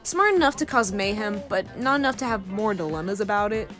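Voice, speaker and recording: A woman's voice: medium pitch, animated, brisk, fairly bright tone, faint music behind, natural voice. A woman playing a sardonic, crude, teasing rogue of a space crew in an audio drama, with a sarcastic, needling delivery. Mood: wry, flippant.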